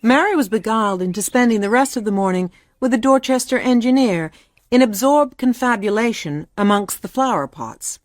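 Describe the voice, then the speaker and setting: A woman's voice: on the high side, animated, normal speed, dry recording. Close, dry female story narrator. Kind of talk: scripted literary story narration.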